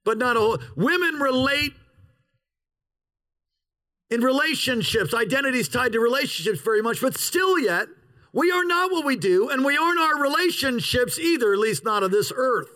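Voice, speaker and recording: A pastor preaching an outdoor sermon in a parking lot, with an opening prayer and emphatic, drawn-out pauses. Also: male voice, very high-pitched, animated, sharp tone.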